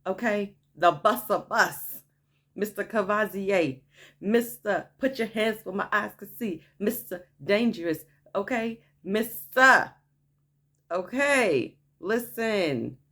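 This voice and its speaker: A woman with a naturally deep voice telling a story to her viewers in a chatty vlog, often tossing in "okay?" as she hypes things up. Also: expressive, takes it slowly, a bit bright. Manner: chatty, frequent "okay?" asides, hyped enthusiasm. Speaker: vlogger. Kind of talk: casual story time vlog.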